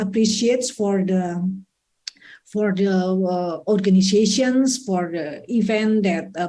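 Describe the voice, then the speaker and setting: A woman from Indonesia with an Indonesian accent, speaking as a panelist in a halting conference presentation with non-native English phrasing. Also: medium pitch, mellow. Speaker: conference panel speaker, social economy advocate.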